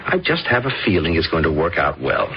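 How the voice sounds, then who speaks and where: A man's voice: very deep voice, very animated, fairly quick. An actor playing a hopeful, trusting middle-aged father in a radio drama, speaking in an earnest, reassuring way. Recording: muffled old radio recording with hiss.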